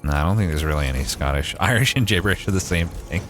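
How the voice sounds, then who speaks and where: A man's voice: deep voice, expressive, fast talker, a bit bright, soft background music. A young Canadian man with a Maritime accent, thinking aloud and swearing casually as he streams a game. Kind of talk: casual gameplay livestream chat.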